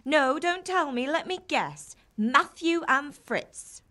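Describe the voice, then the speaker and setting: Lively, nagging medieval village mother. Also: high-pitched, takes it slowly, heavily acted. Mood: teasing, chiding. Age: middle-aged. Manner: nagging, teasing, animated. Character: scolding but affectionate medieval village mother and wife. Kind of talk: dramatic video game dialogue.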